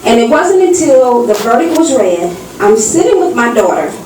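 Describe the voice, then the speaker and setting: A woman in her fifties telling a personal story at a public meeting, in deliberate, dramatic phrases broken by pauses. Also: fairly high pitch, big pitch swings, dark tone, slight background noise. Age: 50s. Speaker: audience member giving testimony. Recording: distant mic in very echoey hall.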